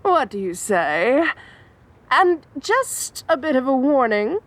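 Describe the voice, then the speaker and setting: Woman voicing a dramatic obsessive supervillain girl, giggly, theatrical, high voice, lively swoops, unhurried. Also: heavily acted. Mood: scheming. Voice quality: dramatic, giggly, sing-song. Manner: theatrical, swings between coy and menacing. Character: obsessive theatrical female supervillain, young adult. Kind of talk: audio roleplay acting.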